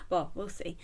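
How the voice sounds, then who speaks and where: A British woman hosting a solo book podcast, chatting casually with a blocked nose from a cold, full of ums and little giggles. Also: pushed and bright. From Britain. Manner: chatty and self-deprecating, with frequent ums and giggles. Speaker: podcast host.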